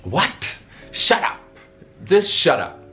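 A man's voice: high-pitched, slow pace, over music. A young man from Nepal with an English accent shaped by India, chatting expressively to camera from his bedroom. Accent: Indian-influenced English. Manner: animated, expressive, gestures a lot while talking. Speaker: vlogger. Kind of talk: casual vlog answering viewer questions. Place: bedroom at home, indoors.

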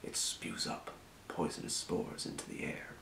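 A man voicing a helpful fantasy potion shopkeeper in an ASMR role play, murmuring in an unhurried, breathy whisper. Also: roomy sound, lightly acted, rough recording. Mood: absorbed muttering. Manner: murmuring, unhurried, muttering to himself. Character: helpful old-world fantasy potion shopkeeper, quietly businesslike. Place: small room, indoors at a desk.

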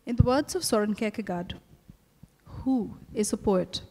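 A middle-aged woman with an Indian accent, conducting a formal on-stage interview in an articulate, measured way. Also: medium pitch, warm tone, slight background noise. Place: hotel ballroom stage. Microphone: handheld microphone. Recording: echoey ballroom with long reverb.

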